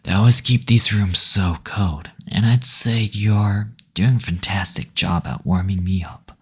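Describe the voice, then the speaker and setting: Man playing a low, husky, teasing male demon. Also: fairly even intonation, heavily acted. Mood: warm, honored. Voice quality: soft, breathy, often dropping to a whisper. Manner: teasing, tender, unhurried. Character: teasing, gentle male demon receptionist at monster brothel. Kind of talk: intimate roleplay audio acting.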